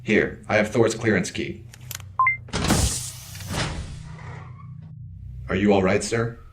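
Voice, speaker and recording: A man's voice: fairly low pitch, normal speed, lightly acted. A man playing a stern, dry robot security officer in a sci-fi audio drama, with formal, clipped, matter-of-fact delivery. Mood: businesslike. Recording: roomy echo with clear background noise.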